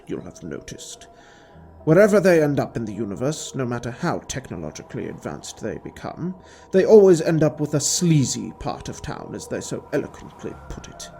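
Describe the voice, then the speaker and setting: A man plays a menacing villain who toys coolly with his captive in an audio drama. His delivery is lofty and formal, swinging widely in pitch at an unhurried pace, with a mellow tone. Music runs beneath him.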